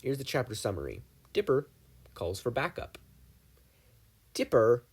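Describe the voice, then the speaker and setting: Expressive male story narrator. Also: medium pitch, a little slow, slightly rough. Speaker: podfic reader. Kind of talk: fan fiction audiobook narration.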